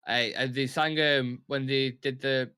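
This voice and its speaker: A man's voice: medium pitch, steady tone, a little slow, bright, forceful tone. A young man with a Mancunian accent hosting a live football watch-along, chatting and commentating casually in a blunt, sweary, opinionated way.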